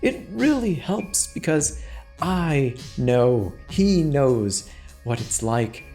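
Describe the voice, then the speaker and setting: A man voicing a small, meek recovering killer who confesses in a sheepish, halting, emotional way in a horror story. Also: a little high, very animated, a little mellow, heavily acted. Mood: pained, shaky. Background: music.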